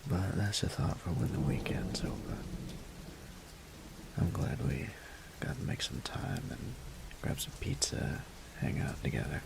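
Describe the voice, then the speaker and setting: Low gravelly murmuring man playing a teasing roommate. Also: animated, heavy background noise, full character voice. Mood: tired, fond. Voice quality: low, gravelly, breathy half-whisper. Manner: playful, unhurried, murmured delivery. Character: teasing, affectionate young male roommate and gamer. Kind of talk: intimate audio roleplay acting.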